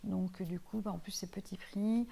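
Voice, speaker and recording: Woman vlogger, gravelly voice, flat delivery, mellow tone, chatty product review at home. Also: medium pitch, moderate pace. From Portuguese heritage, lives in France. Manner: chatty, many fillers, addresses viewer informally. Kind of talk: casual product review chat. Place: home, tiled room.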